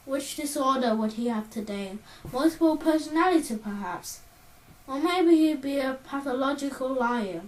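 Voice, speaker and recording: High-voiced woman reading an audiobook aloud. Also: expressive, moderate pace, darkish tone. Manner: reads with occasional slips and restarts. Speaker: audiobook reader. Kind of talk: amateur audiobook narration. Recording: roomy, distant mic.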